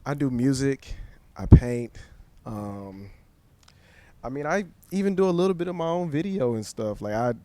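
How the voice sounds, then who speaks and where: A young man hosting a podcast, answering in a thoughtful, measured, slightly hesitant way. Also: medium pitch, expressive, dark tone, no echo. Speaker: podcast host. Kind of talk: conversational interview.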